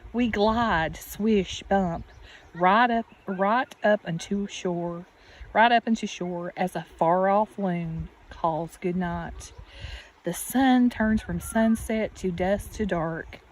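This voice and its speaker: A woman teacher reading a picture book aloud to children, deliberate and expressive, with questions and asides to her listeners. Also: mid-range voice. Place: outdoors beside a natural pond. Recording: steady outdoor background noise. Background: birds and outdoor ambience.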